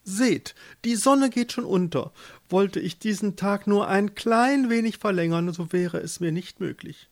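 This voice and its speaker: A man's voice: high-pitched, measured pace, pitched above the performer's own voice. A man voicing a haughty, restless fisherman's wife turned pope in a storybook, speaking in a stately, condescending way. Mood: grandly dissatisfied.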